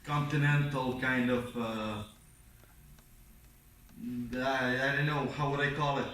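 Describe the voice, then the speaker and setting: A young man with a Serbian accent chatting casually in a hesitant, measured way. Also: mid-range voice, fairly even intonation, fairly bright tone. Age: young adult. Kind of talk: casual chat. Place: art studio, away from the mic. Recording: roomy, distant, noisy studio sound.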